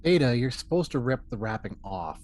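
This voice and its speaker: A man's voice: medium pitch, sing-song, a little fast, darkish tone, close to an everyday voice. A man playing a loyal, earnest starship first officer in a table read, speaking emphatically. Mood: teasing. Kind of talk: amateur table read of a sci-fi script.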